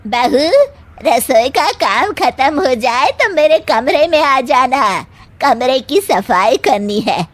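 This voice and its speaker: Woman acting slow, shrill, bossy mother-in-law. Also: lively intonation, dry recording, full character voice. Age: older. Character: bossy, fussy, easily alarmed older mother-in-law. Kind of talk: Hindi cartoon dubbing.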